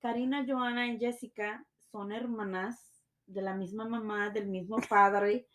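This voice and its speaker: A woman's voice: medium pitch, fairly even intonation, normal speed, a bit bright. A woman in her thirties from Mexico, co-hosting a casual podcast chat and slipping Spanish into her English. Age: 30s. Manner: laughing, mixes Spanish into English. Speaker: podcast co-host.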